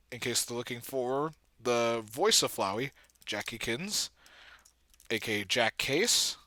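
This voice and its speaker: Bright-voiced man muttering at his computer. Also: medium pitch, no room sound. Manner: self-deprecating, muttering asides while typing. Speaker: video creator, comic dub editor. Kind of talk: casual screen-recording commentary. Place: indoors at a computer.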